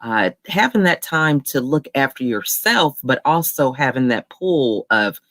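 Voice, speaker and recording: A woman's voice: rumbling low, sing-song, a little slow, fairly bright tone, dry recording. A woman hosting a video-call interview, upbeat and chatty, with a few filler words. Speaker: host. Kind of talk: casual interview chat.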